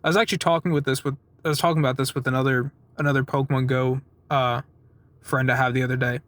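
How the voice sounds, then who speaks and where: A man's voice: medium pitch, level tone, quick pace, fairly bright tone, dry recording. A man in his twenties talking in a casual, rambling vlog style, telling stories informally with some swearing and acting out what people said. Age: 20s. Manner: acts out quoted exchanges. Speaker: vlogger giving a weekly update.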